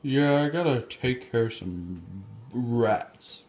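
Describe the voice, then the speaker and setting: Amateur puppet show: sly sceptical male schemer played by a man, deadpan, unhurried, mid-pitched. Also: a little hiss or hum. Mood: casual.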